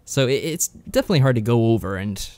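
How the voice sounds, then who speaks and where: A man co-hosting a podcast in a casual review chat, speaking in an agreeable, measured way. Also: mid-range voice, lively intonation, a little mellow, no room sound. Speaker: podcast co-host.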